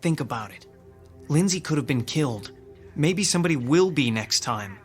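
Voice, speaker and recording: A man's voice: on the high side, animated, normal speed, faint music behind, close to an everyday voice. An actor playing a young male student stagehand in a radio drama, talking in a sober, reasoning way. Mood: serious.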